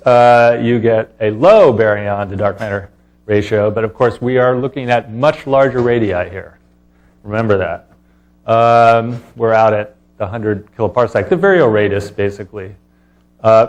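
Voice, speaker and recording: Halting male scientist giving a research talk. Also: medium pitch. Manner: halting, informal, explanatory delivery with frequent hesitations. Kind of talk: academic conference talk.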